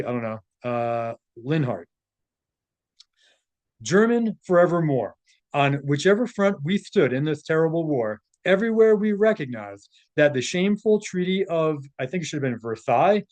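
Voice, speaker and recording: A male presenter with a moderately high-pitched voice reads prepared text aloud. He slips informal asides into the reading and can be halting when he meets unfamiliar names or words. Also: a little mellow.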